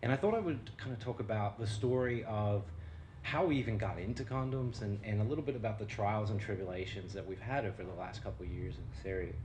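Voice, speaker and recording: A low-pitched American man addresses an audience from a lecture stage. He talks through his research in a loose, conversational way, with plenty of ums and restarts. A faint hum of ambient noise sits under the voice. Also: quick pace. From United States. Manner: conversational, with frequent fillers and restarts. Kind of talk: public talk with slides.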